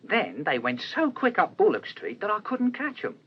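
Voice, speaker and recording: A chatty, baffled working-class waiter in a radio play. His husky voice leaps up and down as he spins his colloquial tale, on a dull recording. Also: sits high, normal speed, exaggerated voice. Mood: animated, baffled. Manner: colloquial, animated storytelling. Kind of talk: radio crime drama acting.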